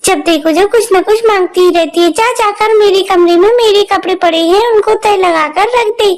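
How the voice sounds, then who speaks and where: Spoiled, dismissive cartoon girl, high-pitched; a woman voices her. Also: normal speed. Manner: rude and dismissive. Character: spoiled mean stepsister girl.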